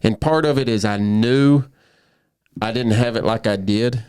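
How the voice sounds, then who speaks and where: A man's voice: mid-range voice, measured pace, a little mellow, clean tone, no room sound, clean studio sound. A man in his thirties, a professional bullfighter, telling his story earnestly in a casual podcast conversation, often restarting his sentences. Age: 30s.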